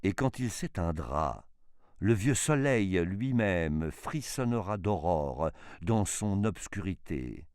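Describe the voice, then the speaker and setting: A man giving a dramatic, theatrical poetry recitation in an impassioned, declamatory manner, with emphatic swells and hushed drops. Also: deep voice, very animated, slow pace, clear, resonant tone, no room sound, clean studio sound.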